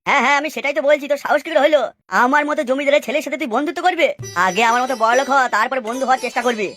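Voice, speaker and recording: A woman's voice: high voice, very fast, a bit bright, faint music behind. A woman voicing a boastful young landlord's son who takes offence easily, in a scolding comic cartoon dub. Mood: haughty, indignant. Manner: haughty, scolding.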